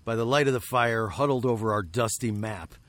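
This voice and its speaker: A man playing a weary, wry nineteenth-century fugitive, telling his tale in a deliberate, literary way for a radio drama. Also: mid-range voice, fairly bright tone, dry recording, lightly acted. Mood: grave narrating. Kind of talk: radio drama narration and acting.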